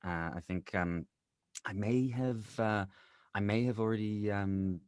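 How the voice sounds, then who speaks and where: A man reminiscing casually as an interview guest, haltingly searching for his words. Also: fairly low pitch, steady tone, darkish tone, clean tone, no echo, clean studio sound. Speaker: podcast guest, actor and producer.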